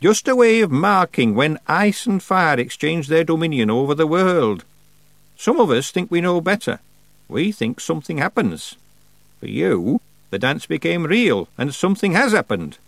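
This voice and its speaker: A man's voice: on the high side, sing-song, creaky, no room sound, pitched above the performer's own voice. A very old witch, stern and solemn, weighs every word as she lectures, voiced by a man reading a storybook. Her voice sits well above his own. The sound is dry and close. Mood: solemn, probing. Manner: slow, severe, lecturing.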